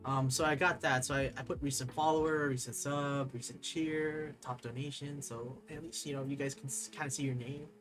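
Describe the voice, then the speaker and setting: A young man chatting casually on a live stream at his desk, rambling and excitable, reading chat aloud and laughing often. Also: medium pitch, normal speed, few pauses, music in the background. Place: desk at home, overhead camera.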